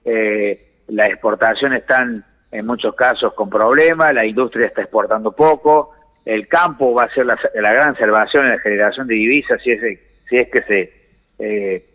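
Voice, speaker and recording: An Argentine man giving measured economic explanations in a phone interview, pausing to search for words. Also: fairly low pitch. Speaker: economics commentator, phone guest. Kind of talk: explanatory radio-style interview answers. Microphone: telephone. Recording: reverberant phone call feed.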